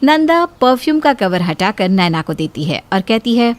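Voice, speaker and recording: A woman's voice: mid-range voice, big pitch swings, moderate pace. A woman narrating a scripted story.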